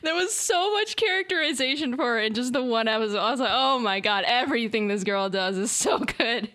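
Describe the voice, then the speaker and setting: A woman's voice: fairly high pitch, expressive, a little fast, bright, forceful tone. A woman co-hosting a podcast, chatting casually and rambling, with lots of fillers and laughter. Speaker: podcast co-host. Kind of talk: casual anime discussion.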